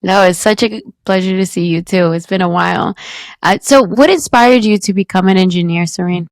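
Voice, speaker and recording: A woman's voice: on the low side, fairly quick, no room sound. A woman hosting an interview, giving polite introductions and asking questions. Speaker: host.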